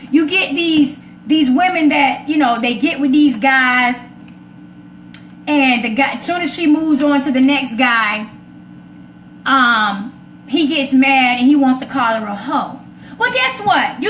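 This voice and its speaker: A woman's voice: extremely high pitch, fairly bright tone. A woman hosting an informal live stream, talking in a sassy, confrontational, emphatic way to her followers. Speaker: live stream host taking callers. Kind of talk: informal opinionated monologue to followers. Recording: echoey room with faint background noise.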